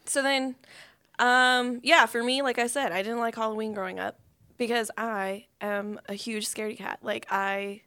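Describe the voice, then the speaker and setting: A woman in her twenties, a podcast co-host chatting casually, giggly and animated. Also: fairly high pitch, normal speed, clean tone. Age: 20s. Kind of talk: casual chat.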